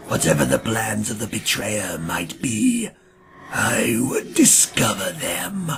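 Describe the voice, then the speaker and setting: A man playing a grim, ancient vampire hunter who narrates a gothic audio drama in a solemn, ornate and gravelly manner. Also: lowish voice, wildly swooping pitch, noisy background, full character voice. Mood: brooding, resolute. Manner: solemn, ornate, deliberate delivery. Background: dramatic music.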